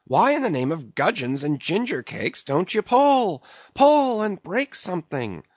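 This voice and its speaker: A male narrator plays a jovial old-time whaleboat mate urging on his oarsmen. The voice is pitched high and swoops widely, coaxing, drawling and mock-scolding by turns. The recording is slightly dull. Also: big pitch swings, unhurried, no echo, heavily acted. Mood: mock fury. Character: jovial, cajoling whaleboat mate driving his rowing crew. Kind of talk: classic sea novel audiobook character.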